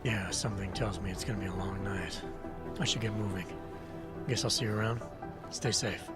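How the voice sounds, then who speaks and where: A man voices a stubborn, stoic agent from a video game. He speaks low, terse and guarded, with a deadpan delivery. Loud music plays underneath. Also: brisk, close to an everyday voice. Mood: weary, guarded.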